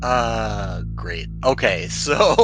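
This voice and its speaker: A man acting as game master, narrating and bantering casually in a wry, deadpan way. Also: lowish voice, extremely slow. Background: music.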